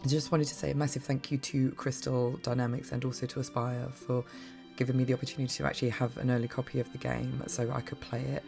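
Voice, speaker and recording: A woman reviewing games in a casual, rambling way, her voice croaky and worn from a cough. Her tone stays flat and level, with a gravelly catch at the bottom. Soft music plays quietly behind her. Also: rumbling low. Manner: rambling, gushing, many restarts. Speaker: game reviewer.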